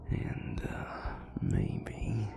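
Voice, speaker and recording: A man playing a gruff but tender vampire boyfriend in an intimate audio roleplay, murmuring breathily and close to the listener in a gravelly drawl. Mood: wry, tender. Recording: music playing behind the voice. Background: loud club music.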